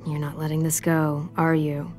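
Woman playing tough teen girl guardian in a game drama, firm n serious, lowish voice, faint music behind. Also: completely flat delivery, moderate pace, a little mellow, natural voice. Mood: weary, stern. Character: tough, protective teenage girl survivor and guardian. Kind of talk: dramatic video game acting.

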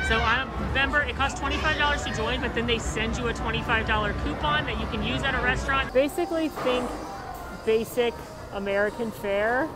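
Young woman vlogging over loud restaurant din. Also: on the high side. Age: young adult. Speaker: restaurant review host. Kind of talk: casual vlog review. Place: themed restaurant, indoors. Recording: loud restaurant crowd and music.

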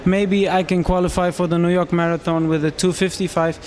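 A man in his thirties from Romania, speaking English, answering interview questions into a handheld mic in a small room, with halting phrasing and frequent restarts. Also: high voice, flat delivery, a little mellow, slight background noise. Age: 30s. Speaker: marathon runner interviewee.

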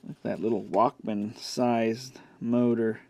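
Unhurried man muttering through a repair. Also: medium pitch, no echo. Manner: offhand, muttering to himself while working. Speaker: host of an electronics repair channel. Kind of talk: casual hands-on repair commentary. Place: indoor workbench. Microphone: camcorder mic near the workbench. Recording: steady background noise, clicks of handling.

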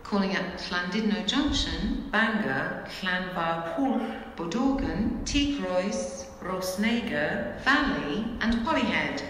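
A woman's voice: mid-range voice, animated, takes it slowly, hardly any pauses, a bit bright. A woman reads formal automated railway station announcements in clipped, pieced-together phrases over a public-address system. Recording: echoing station PA, noisy, long reverb.

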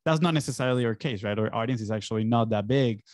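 A man's voice: mid-range voice, fast, a little mellow, no echo, clean studio sound. A young man from Venezuela, a native Spanish speaker, telling his story in a casual, conversational way as a podcast guest. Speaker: podcast guest, agency entrepreneur. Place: small home studio indoors. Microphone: close boom-arm podcast microphone with headphones.

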